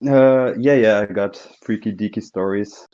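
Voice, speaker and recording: A man's voice: fairly low pitch, moderate pace, warm tone, dull audio. A man from Germany with a German accent, a non-native English speaker, calling in hesitantly and searching for words. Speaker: caller telling a ghost story.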